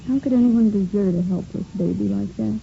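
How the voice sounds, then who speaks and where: A creaky, very mellow-voiced woman in a 1950s radio drama, sorrowful and indignant. Her lament comes through a dull old recording. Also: medium pitch, brisk. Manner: lamenting, emotional. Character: sorrowful, indignant woman pitying abandoned children. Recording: dull, faintly noisy vintage radio recording.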